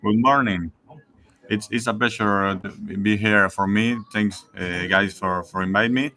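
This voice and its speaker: A man from Argentina with an Argentine Spanish accent, a guest speaking halting English as a second language. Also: lowish voice, steady tone, bright, forceful tone, a little hiss or hum. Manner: halting, searching for words. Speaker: podcast guest.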